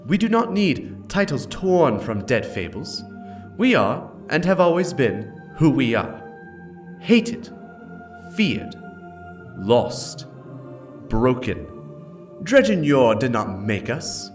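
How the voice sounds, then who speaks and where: A bitter, defiant zealot played by a man declaims with raised, forceful pitch and big swings over music. It is fervent villain oratory from a video game tale. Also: very animated, slow pace, long pauses. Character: bitter zealot villain renouncing his past, leader of outcasts. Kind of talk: dramatic reading of video game lore.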